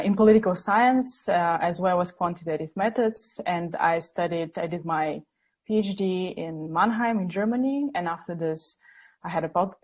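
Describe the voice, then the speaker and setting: A woman's voice: on the low side, a little mellow. A woman, an assistant professor, introducing herself earnestly and searching for words on a video-call webinar. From Bulgaria.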